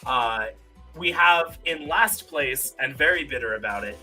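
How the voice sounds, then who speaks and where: A man's voice: mid-range voice, animated, a little slow, pushed and bright, soft background music, low-quality recording. A man hosting a trivia game on a casual live stream.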